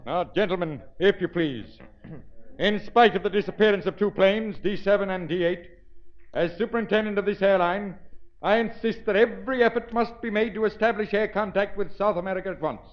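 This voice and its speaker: A man's voice: high-pitched, a little fast, lightly acted. An actor playing an urgent, authoritative airline man in a formal, insistent 1930s radio style. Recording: muffled old 1930s radio recording, music behind.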